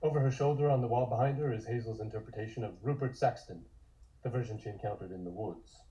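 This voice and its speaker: Mellow man narrating a script. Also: mid-range voice, quick pace, highs cut off. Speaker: narrator reading scene descriptions at a table read.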